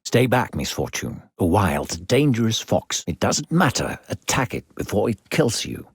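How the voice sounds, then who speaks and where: A sly, falsely kindly video game narrator who coaxes a child along in a low, gravelly voice. The delivery is theatrical and patronizing, swooping widely in pitch. It is recorded dry and close. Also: male voice, very animated, measured pace, no room sound, heavily acted. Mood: urgent, warning. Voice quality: gravelly, husky.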